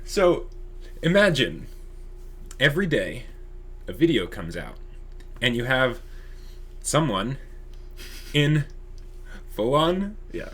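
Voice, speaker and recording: A man co-hosting an online channel, explaining ideas casually and eagerly, halting and repeating words as he goes. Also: medium pitch, sing-song, fairly bright tone, husky.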